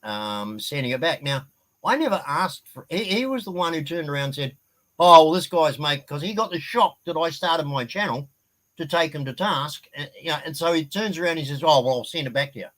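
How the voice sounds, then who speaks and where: Aussie guy on a livestream, blunt and outspoken, kinda high voice, swears a lot. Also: expressive, a little fast, fairly bright tone, poor audio. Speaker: guest. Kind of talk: casual live-stream chat.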